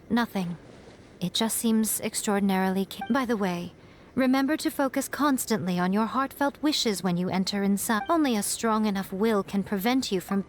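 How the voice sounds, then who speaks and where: An actress playing a crafty, self-assured priestess in a video game, sly and knowing in manner. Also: female voice, medium pitch, slight background noise, close to an everyday voice. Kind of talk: video game character acting. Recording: game music behind the voice.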